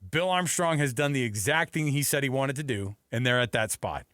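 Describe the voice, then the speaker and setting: A man's voice: medium pitch, fairly quick, a bit bright, no echo, clean studio sound. A man with an American accent co-hosting a sports radio show, analysing in an unscripted, emphatic, conversational way and repeating phrases for emphasis.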